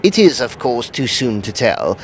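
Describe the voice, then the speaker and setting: Actor as swooping, creaky Edwardian occult detective. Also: male voice, medium pitch, very animated, heavy background noise. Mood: musing. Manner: deliberate, theatrical, musing then exclaiming. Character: eccentric, shrewd Edwardian occult detective, keen and commanding. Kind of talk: audio drama acting.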